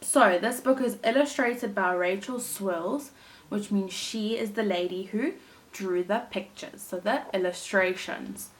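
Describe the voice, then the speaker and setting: A woman teacher reading a storybook aloud to young children, in a deliberate, expressive way with dramatic emphasis. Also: medium pitch. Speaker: primary school teacher reading to her class.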